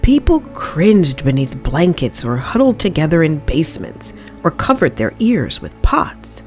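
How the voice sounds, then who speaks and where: A woman reading a children's story aloud in expressive, dramatic narration with deliberate phrasing. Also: low voice, faint music behind.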